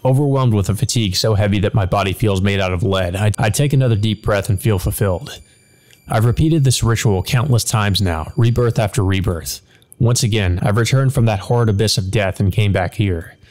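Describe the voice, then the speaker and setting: A man's voice: fairly low pitch, a little fast, darkish tone, natural voice. A man voicing a proud, intense woman warrior in a visual novel, stern and demanding with formal speech. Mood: weary. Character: proud, intense adult woman, a battle-hungry master of a servant.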